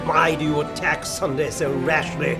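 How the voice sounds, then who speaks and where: Prim, higher-pitched male secretary played by an actor. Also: level tone, normal speed, music in the background, natural voice. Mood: prim, disapproving. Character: prim, diplomatic male secretary, cautious and formal. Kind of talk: radio drama acting.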